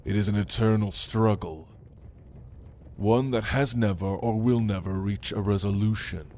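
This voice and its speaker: A man's voice: on the low side, darkish tone, a little hiss or hum, lightly acted. A man playing a proud, commanding demon lord in an ASMR roleplay, speaking in a theatrical, menacing manner to the listener. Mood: grim, instructive. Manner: slow, imperious, theatrical, menacing.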